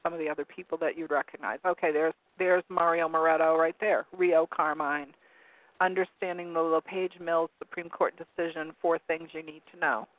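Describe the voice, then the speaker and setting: A hoarse man losing his voice, hosting a call-in talk show in a rambling, casual way while reading from web pages. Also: on the high side, little pitch movement, normal speed, dry recording. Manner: rambling, searching for words with many ums. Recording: narrow phone-like line, dry.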